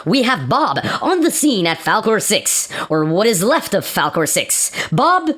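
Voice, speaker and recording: Woman playing animated, formal newsman. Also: medium pitch, a little slow. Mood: formal, urgent. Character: formal male TV news anchor in science fiction. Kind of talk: scripted news broadcast parody.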